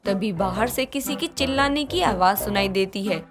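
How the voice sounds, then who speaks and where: A woman's voice: mid-range voice, lively intonation, moderate pace. A woman reading scripted moral-story narration as the storyteller. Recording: music behind the voice.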